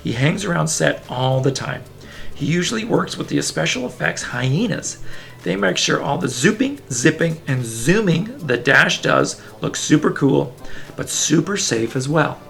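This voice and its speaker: Animated, gravelly-edged man reading for kids. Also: a little high, measured pace, faint music behind. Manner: playful, enthusiastic, talking to young listeners. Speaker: children's book reader. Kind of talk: animated read-aloud storytelling with casual asides.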